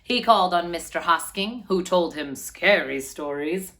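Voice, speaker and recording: A woman's voice: fairly low pitch, lively intonation, measured pace, a bit bright. A woman hosting a read-aloud for children, narrating expressively with a lilting delivery.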